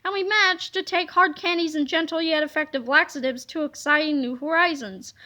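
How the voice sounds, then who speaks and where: A woman reading a children's comic book aloud in a playful, animated way, slipping into silly voices. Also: sits high, brisk. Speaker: reader.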